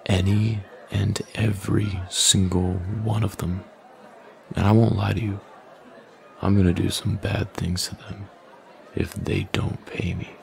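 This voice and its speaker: Man playing a slow, gravelly, menacing young bully. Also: little pitch movement, a faint crowd, full character voice. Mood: coldly threatening. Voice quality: low, gravelly, often half-whispered. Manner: slow, taunting, domineering. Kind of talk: boyfriend ASMR roleplay, close and breathy.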